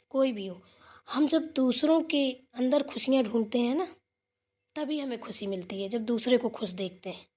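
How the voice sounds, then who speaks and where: A woman's voice: sits high, a little mellow. A woman playing a kind, generous elder sister-in-law in a village family cartoon, talking in an earnest, reassuring, homely way. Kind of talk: Hindi family moral cartoon dialogue.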